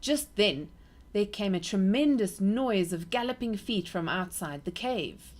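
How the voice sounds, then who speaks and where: A woman reading a children's book aloud for her nieces, in an expressive storytelling manner. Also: mid-range voice, measured pace.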